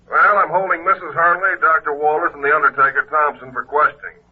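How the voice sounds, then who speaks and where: An actor playing a gruff, plainspoken police lieutenant, exasperated and grumbling, in classic radio drama. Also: male voice, a little high, steady tone, brisk. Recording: muffled, lo-fi vintage radio recording.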